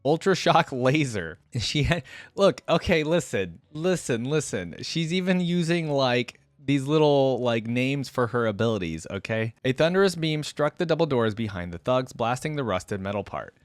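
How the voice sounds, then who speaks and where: A man hosts a reaction, reading a story out loud in an emphatic way. His voice sits at an average pitch and he slips into casual commentary. The sound is dry, with hardly any room to it. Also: expressive, moderate pace, dry recording. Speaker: host of a reaction channel. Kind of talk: reaction commentary and animated story read-aloud.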